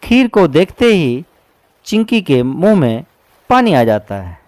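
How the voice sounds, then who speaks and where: A man's voice: fairly high pitch, sing-song, slow, darkish tone, no echo. A man narrating a children's moral story in a scripted storytelling style.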